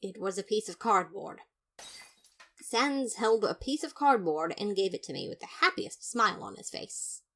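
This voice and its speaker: Theatrical woman narrating in a medium pitch. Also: expressive, normal speed, fairly bright tone. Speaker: podfic reader. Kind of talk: dramatized first-person fan fiction narration.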